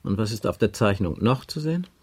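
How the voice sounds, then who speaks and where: An actor playing a probing, officious police inspector taking a statement in a radio crime drama, pressing insistently with each question. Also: male voice, on the low side, lively intonation, a little fast, slightly rough. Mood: insistent, formal. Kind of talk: 1980s German radio crime drama acting.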